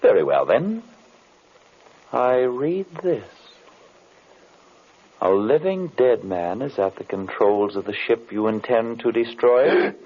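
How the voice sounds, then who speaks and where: Swooping, husky, defiant radio hero. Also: male voice, mid-range voice, animated, takes it slowly, pauses often. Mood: wary. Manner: formal, theatrical, resolute. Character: defiant, dignified male adversary of a villain. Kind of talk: old-time radio drama acting. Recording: tinny, band-limited old radio recording.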